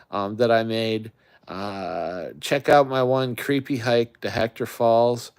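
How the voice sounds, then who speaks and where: A man's voice: mid-range voice, clean tone, no echo, studio quality. A middle-aged man from the Great Lakes region hosting a camping channel, chatting casually on a live stream in a rambling way with lots of fillers.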